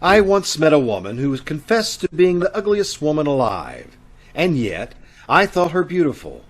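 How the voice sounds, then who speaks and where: A man playing a sinister, teasing storyteller of eerie tales in an old-time radio horror play, slyly ominous and deliberate. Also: a little high, sing-song, slight background noise, pitched above the performer's own voice. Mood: grave, eerie.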